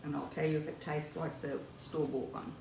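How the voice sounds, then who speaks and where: A woman's voice: deep voice, level tone, fairly quick, gravelly. An older woman from Australia hosting a home cooking demonstration, chatting to viewers as she works in her kitchen and picked up by a camera mic at a distance. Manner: chatty, talks to viewers while working. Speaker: cooking video host. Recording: echoey kitchen with appliance noise.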